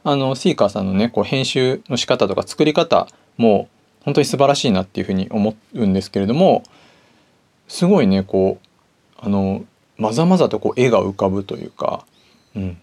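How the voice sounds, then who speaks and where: A man's voice: fairly low pitch, expressive, brisk, creaky, a little mellow. A man hosting a podcast, talking casually and politely in a conversational way. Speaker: podcast host. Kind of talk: casual solo talk.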